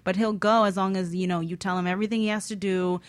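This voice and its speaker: Woman on a talk show just chatting, normal pitch, super dry sound, checks you're following. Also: steady tone, fast, dry recording. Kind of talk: casual chat, personal anecdote.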